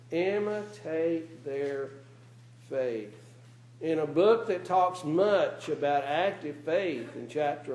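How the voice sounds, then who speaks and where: A man's voice: on the high side, darkish tone. A man preaching a sermon in a church, speaking earnestly and asking his congregation rhetorical questions. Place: church meeting room. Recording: roomy church echo.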